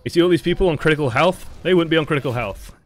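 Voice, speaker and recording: A young man casually commenting on game footage as a coach, relaxed and chatty, with the odd swear word. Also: on the high side, very fast.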